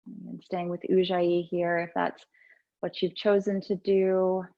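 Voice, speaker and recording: A woman guides a gentle yoga and breathing practice in a fairly low voice with a lot of creak. Her delivery is soothing and unhurried, leaving space between instructions. The recording is a little dull and lo-fi. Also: flat delivery. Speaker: yoga and meditation teacher.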